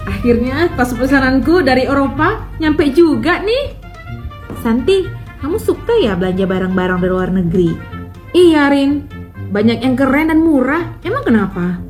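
The lively, chirpy woman an actress you would hear between radio programmes, chatting brightly about shopping over a music bed in a public service spot. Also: high voice. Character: trend-loving woman and her patriotic friend chatting about shopping.

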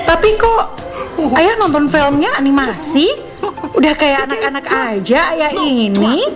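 A woman playing a cheerful, curious wife in a radio public service skit, asking lively questions. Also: high-pitched, unhurried, over loud music. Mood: playful.

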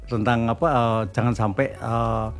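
A man with a Javanese accent co-hosting a friendly radio chat, cheerfully agreeing with his partner. His pitch sits in the ordinary middle range for a man. Faint music runs under the studio talk. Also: little pitch movement. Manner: upbeat, agreeable, quick to affirm. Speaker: radio co-host.

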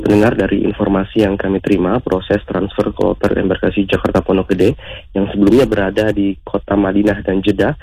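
An Indonesian male radio reporter with a very deep, dark voice. He delivers a live news report in a formal, measured way, sounding husky and close in a dry space. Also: dry recording, poor audio.

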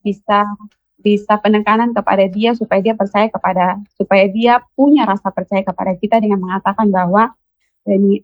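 A woman with a Kupang accent, a children's mentor, explaining slowly and hesitantly as a guest on a radio talk show. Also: medium pitch, fairly even intonation, no echo, highs cut off. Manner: slow, explanatory, with many hesitations.

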